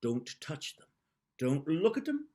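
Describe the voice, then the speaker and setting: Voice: medium pitch, huge pitch swings, measured pace, dark tone. King of the Fairies, as a storyteller voices him. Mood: commanding. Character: the King of the Fairies, gruff but fair.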